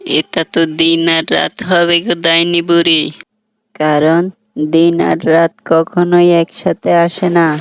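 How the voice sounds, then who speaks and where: A man's voice: a little high, monotone, unhurried, creaky, a bit bright, smooth, no echo. A man voicing a humble village man in a cartoon, with a pleading, folksy way of talking. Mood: eager.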